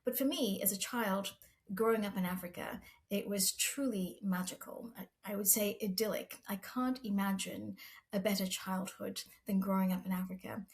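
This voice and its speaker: Earnest woman telling a measured story. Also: mid-range voice, steady tone, creaky. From Zambia. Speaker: guest, pharma medical executive. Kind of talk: interview storytelling.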